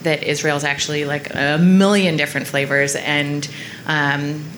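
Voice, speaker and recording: A woman with a very low voice answers thoughtfully as a chef on a live panel. She agrees easily and talks in a relaxed way. A faint murmur of the room is behind her. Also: a little slow, slight background noise, rough recording. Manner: agreeable, thoughtful, a few laughs. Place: restaurant with a live audience.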